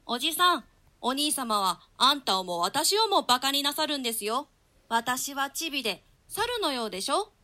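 A woman's voice: high-pitched, very animated, normal speed, bright, forceful tone, exaggerated voice. A woman performing a precocious, cheeky little boy prince in a theatrical Shakespeare reading. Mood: impish, teasing. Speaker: stage reader in a troupe's radio reading. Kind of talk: theatrical Shakespeare reading in archaic Japanese translation.

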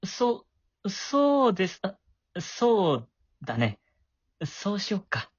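A man's voice: high-pitched, huge pitch swings, takes it slowly, slightly rough, dry recording, lo-fi sound, exaggerated voice. A man acting a shy, awkward middle-school boy with a crush in an amateur voice drama, flustered and prone to stammering. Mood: flustered, eager.

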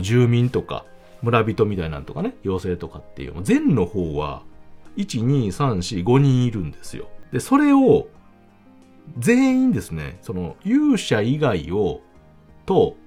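A man with a Kansai accent hosting a casual solo talk podcast, chatting in an animated, emphatic way. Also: lowish voice, slightly rough. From Kansai, Japan. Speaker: podcast host. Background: background music.

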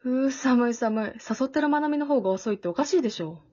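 Woman doing a dry sharp-tongued teen girl, breathy rough edge, radio drama, slightly muffled. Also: sits high, quick pace. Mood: exasperated, reluctant. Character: blunt, exasperated but protective teenage girl. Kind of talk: amateur short radio drama acting. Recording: dull, lo-fi audio.

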